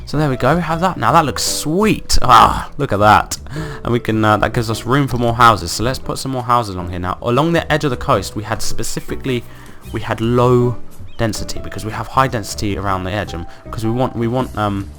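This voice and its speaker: A man with a croaky voice from being ill, hosting casual gameplay commentary and thinking aloud in an upbeat, rambling way. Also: medium pitch, a little fast, few pauses, pushed and bright, faint music behind. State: croaky from illness. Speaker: let's play host.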